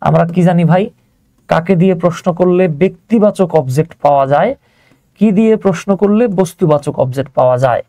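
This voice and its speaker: A man's voice: sits high, quick pace, warm tone, no echo. A man with a Bangladeshi accent teaching grammar informally, step by step, often putting questions to his learners as he explains. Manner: conversational, checks on learners with rhetorical questions.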